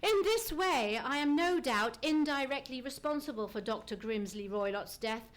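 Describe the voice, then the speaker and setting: A woman's voice: high-pitched, expressive, normal speed. A woman acting a self-assured detective in a live old-time radio play, speaking in a precise, formal, theatrical way. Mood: dramatic, self-satisfied.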